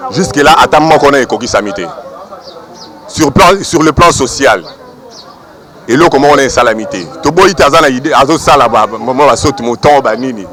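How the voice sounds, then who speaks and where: Mid-pitched argumentative man. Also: fairly bright tone. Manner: argumentative, explaining. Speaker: person in the street. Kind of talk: street interview.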